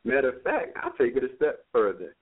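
A man boasts playfully in loose sports banter. His voice is mid-pitched. The sound is thin and phone-like. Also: huge pitch swings, brisk, a little hiss or hum. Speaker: show regular. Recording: tinny phone-like line.

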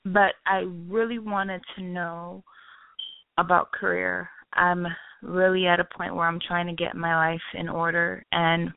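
A woman's voice: lowish voice, little pitch movement, measured pace, vocal fry, clean tone, dry recording. A young woman from Haiti calling a radio show, talking eagerly in long rambling sentences and apologizing for babbling. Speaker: caller. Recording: tinny phone line.

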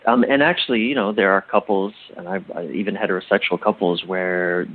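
Husky-voiced man explaining thoughtfully. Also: sits low, fairly even intonation, fairly quick, narrow, tinny audio. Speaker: interview guest, relationship coach. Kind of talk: explanatory interview talk.

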